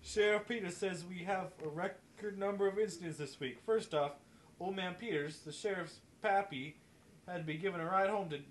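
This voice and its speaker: A man plays a bossy, nosy small-town newspaperwoman in a comic radio play. The voice sits a little high and is wry and brisk, with faint noise behind it. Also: close to an everyday voice, low-quality recording. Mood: wry, gossipy.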